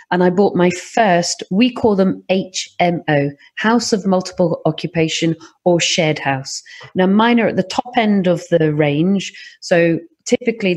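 Brief: middle-aged woman, English accent, husky and lowish, lively and animated podcast guest. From Scotland. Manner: lively, animated storytelling with laughs. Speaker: property investor guest. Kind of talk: podcast interview.

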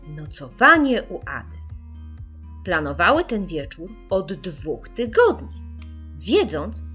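A woman reading a children's audiobook as an expressive storyteller, putting dramatic emphasis on key words. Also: mid-range voice.

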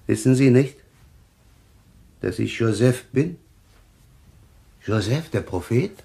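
A man's voice: mid-range voice, animated, takes it slowly, creaky, warm tone. An actor playing a desperate, agitated man who calls himself a prophet, pleading insistently in a German thriller radio play. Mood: grave warning.

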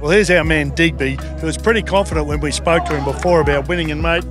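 A man's voice: a little high, quick pace. A middle-aged Australian man hosting casual sideline interviews with jovial, matey banter into a handheld microphone. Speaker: roving reporter and host. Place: outdoor sports field. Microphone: handheld reporter microphone. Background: music playing.

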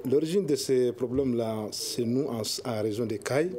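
A young man, an Arabic speaker talking in French as an association spokesman on a studio panel, halting and searching for words. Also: medium pitch, background noise. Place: television studio.

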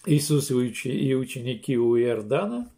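An older man, a pastor, preaching a sermon slowly and emphatically from a small room, with pauses and restarts. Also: medium pitch, lively intonation, warm tone. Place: small room indoors, seated in armchair.

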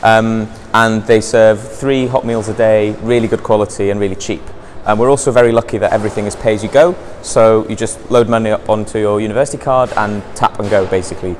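A man's voice: on the low side, steady tone, brisk, hardly any pauses, slight background noise. Chatty young man giving a tour. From North Wales. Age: young adult. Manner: upbeat, chatty, many ums and likes. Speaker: college outreach officer. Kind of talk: casual walking campus tour. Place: college campus, outdoors and indoor halls. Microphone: lapel mic.

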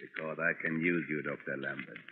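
An actor playing a crooked, calculating lawyer, commanding and menacing, in 1930s radio drama style. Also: male voice, very deep voice, a little fast, vocal fry. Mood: coolly persuasive. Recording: scratchy, muffled, narrow-band 1930s radio.

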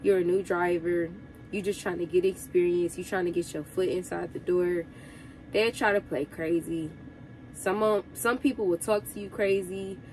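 Young woman, congested from a cold, lowish voice, chatty vlog advice, faint background hum. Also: normal speed, low-quality recording. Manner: relaxed, chatty, addresses viewers as y'all. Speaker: truck driver vlogger.

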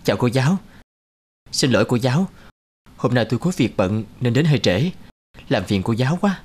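A man's voice: medium pitch, expressive, normal speed, a little mellow, husky. A man voicing a middle-aged father in a cartoon, conversational and a little grudging. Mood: polite, friendly. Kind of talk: animated cartoon dub.

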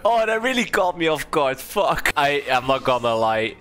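Lively bright-voiced young man. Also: fairly high pitch, faint music behind. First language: Dutch. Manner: swears freely, quick amused reactions, frequent laughter. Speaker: streamer. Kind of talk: casual gameplay commentary.

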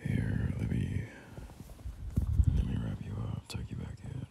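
A young man playing a caring, soothing boyfriend in an ASMR roleplay, murmuring gently and hesitantly in a breathy, gravelly half-whisper. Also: extremely low pitch, fairly even intonation, a little hiss or hum, heavily acted. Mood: tender, reassuring. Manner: gentle, hesitant, unhurried reassurance.

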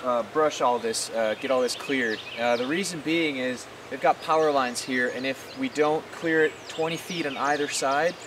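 A man's voice: medium pitch, normal speed, hardly any pauses. A man in his thirties talking informally and plainly, explaining things like a farmer hosting a casual vlog. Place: outdoors on a farm. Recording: clear background noise, hiss.

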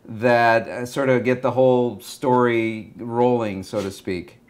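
Relaxed middle-aged man, native Spanish speaker. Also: mid-range voice, a little hiss or hum. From Argentina. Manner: relaxed, measured, frequent hesitations. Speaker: director, interview guest. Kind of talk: casual interview talk. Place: small room indoors beside a window.

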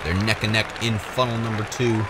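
Man calling play-by-play over loud crowd. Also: on the low side, little pitch movement, brisk. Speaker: sports commentator for marble races. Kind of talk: play-by-play commentary. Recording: loud crowd noise behind commentary. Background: loud crowd and ambient noise.

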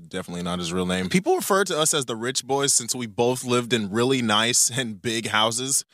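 A nineteen-year-old man narrating an informal animated story about himself with chatty, self-aware asides. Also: mid-range voice, normal speed, gravelly, dry recording, studio quality.

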